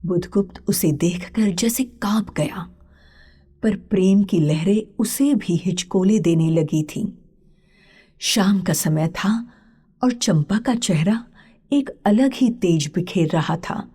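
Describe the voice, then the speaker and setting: A woman narrating a literary story as a scripted storyteller, in a husky, breathy voice. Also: mid-range voice, slow pace, warm tone.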